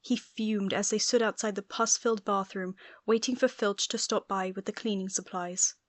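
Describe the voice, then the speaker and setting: A woman narrates a story as a scripted audiobook. Her voice sits at a medium pitch, in a close recording with no room sound. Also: steady tone, moderate pace, dry recording.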